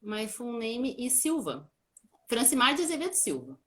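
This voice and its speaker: A woman's voice: a little high, big pitch swings, normal speed, a bit bright, echoey room. A Brazilian woman joining a lesson as a student guest, nervous and eager, giving short answers. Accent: Brazilian Portuguese.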